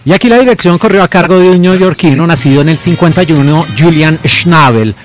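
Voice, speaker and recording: A man presenting a film in scripted narration, giving titles and names with deliberate emphasis. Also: on the high side, faint music behind.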